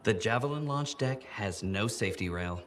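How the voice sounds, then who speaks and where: An actor playing an earnest, fussy safety campaigner in a video game, with a grave, meticulous deadpan delivery. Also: male voice, on the low side, moderate pace, a little hiss or hum, lightly acted. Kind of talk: video game dialogue acting.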